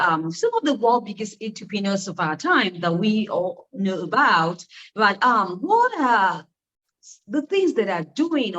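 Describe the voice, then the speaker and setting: A woman hosting a podcast interview, introducing her guest and asking questions in a measured way with frequent hesitations. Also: medium pitch, a bit bright, muffled recording. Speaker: podcast host.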